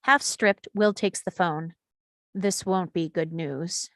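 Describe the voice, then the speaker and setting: A woman's voice: on the low side, takes it slowly, a bit bright, no echo, studio quality. A woman reading stage directions as scripted narration at a screenplay table read.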